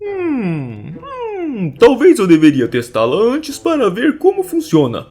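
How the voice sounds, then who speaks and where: A woman voicing an excitable, exclamatory Santa Claus in a children's cartoon. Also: mid-range voice, measured pace, soft background music. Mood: delighted, curious. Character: jolly, excitable, easily frightened male Santa Claus.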